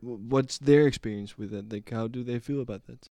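A man's voice: medium pitch, a little fast, warm tone, no echo. A man hosting a podcast and interviewing guests in a conversational way.

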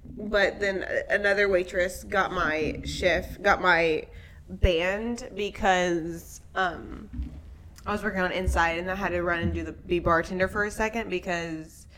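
A young woman with a raspy, hoarse voice, co-hosting a podcast and chatting excitedly about reality shows and her week. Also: on the low side, a little hiss or hum. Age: young adult. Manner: excitable, quick storyteller. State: hoarse from screaming at work. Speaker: podcast co-host. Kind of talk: casual chat.

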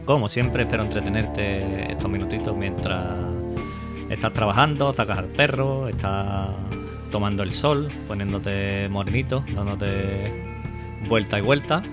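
A man's voice: on the low side, a little slow, over loud music. A man hosting a podcast, talking in a casual, chatty way, with many fillers and restarts. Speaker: podcast host.

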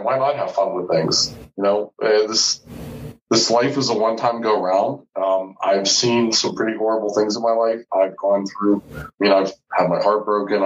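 Forties male guest, low-ish, frank and profane; distant, dull call audio. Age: 40s. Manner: blunt and profane, candid. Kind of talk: casual chat. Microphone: video call.

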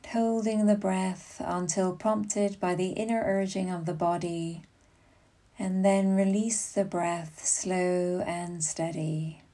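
A woman leading a guided meditation as a yoga nidra guide, giving soothing, unhurried instructions with long pauses. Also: lowish voice, steady tone, dark tone.

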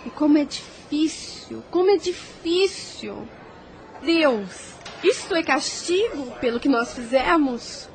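Actress as high-voiced anguished Brazilian young woman. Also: lively intonation, takes it slowly, full character voice, poor audio. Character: anguished young woman, caring and trying to stay strong. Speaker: radio drama actress. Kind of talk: Brazilian radio soap acting.

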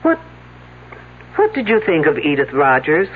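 An actress playing a brisk, probing lady police detective in a 1970s radio drama, with pointed, insistent questions. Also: low-pitched, big pitch swings, slight background noise. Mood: probing, controlled. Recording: narrow, tinny old radio broadcast.

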